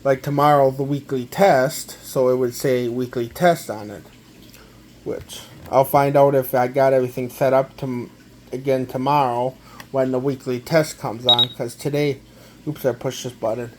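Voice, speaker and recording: A man gives a casual, halting, step-by-step product walkthrough, often repeating himself. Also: mid-range voice, fairly even intonation, darkish tone. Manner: halting, repetitive, step-by-step explaining. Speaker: amateur gadget demonstrator.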